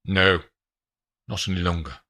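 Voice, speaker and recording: A man's voice: low voice, measured pace, creaky, pushed and bright, exaggerated voice. A man doing a terse, guarded hitman. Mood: tense. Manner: terse, clipped questions. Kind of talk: audiobook character voice.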